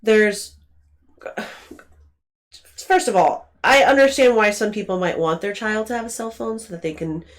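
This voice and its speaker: A woman's voice: medium pitch, moderate pace, pauses often. A woman in her late twenties talking casually as a podcast co-host. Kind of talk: casual conversation.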